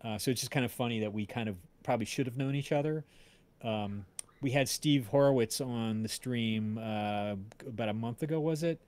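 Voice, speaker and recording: A man's voice: medium pitch, normal speed, darkish tone. A man hosting a casual livestream chat, rambling along and often searching for words as he tells stories. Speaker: podcast creator and stream host.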